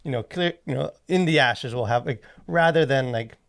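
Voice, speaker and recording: A man with a noticeably creaky, mid-pitched voice co-hosts a casual discussion. He rambles and halts, circling his point with plenty of "like" and "you know.". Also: normal speed, fairly bright tone. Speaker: podcast co-host. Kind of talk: casual discussion of faith and culture.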